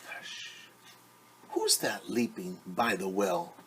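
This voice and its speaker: A man reading a picture book aloud to young children as a teacher, with sing-song refrains and hushed, whispered asides. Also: low-pitched, slow, a little hiss or hum.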